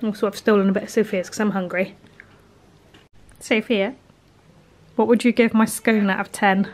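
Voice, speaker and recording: A woman's voice: medium pitch, steady tone, normal speed. A British woman in her thirties giving a casual, chatty cooking demonstration, informally thinking aloud as she works. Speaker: home baking vlogger. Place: home kitchen, indoors. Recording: household kitchen noise, dish clatter.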